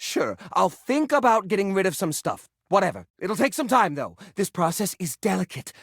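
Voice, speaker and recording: A man's voice: high voice, big pitch swings, moderate pace, creaky, a bit bright, no echo, studio quality, heavily acted. A man playing a neurotic, possessive hoarder, a junk drawer come to life in a video game, with a theatrical, whiny, defensive delivery. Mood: defensive, sentimental. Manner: theatrical, whiny, defensive, stammering when upset. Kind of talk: video game character voice acting.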